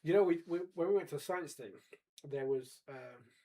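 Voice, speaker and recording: A man of forty co-hosting a casual chat, wry and pedantic, quick to argue a point. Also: a little high, mellow. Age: 40s. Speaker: podcast co-host.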